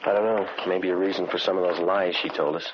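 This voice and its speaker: An actor playing a clipped, matter-of-fact police detective who narrates a vintage radio drama. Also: male voice, sits low, fairly even intonation, fast, natural voice. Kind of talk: 1950s radio drama acting. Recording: dull vintage radio recording with music.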